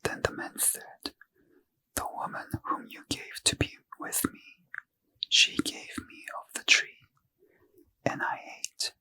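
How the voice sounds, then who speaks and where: A man reading a bedtime scripture story in ASMR style, in a soft unvoiced whisper. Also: slow, pauses often, poor audio. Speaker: ASMR reader.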